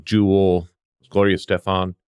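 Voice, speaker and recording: A man's voice: deep voice, moderate pace, clear, resonant tone. A middle-aged man telling casual anecdotes as a podcast guest, acting out bits of dialogue as he goes. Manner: animated re-enacting of dialogue. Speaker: podcast guest, veteran EMS worker.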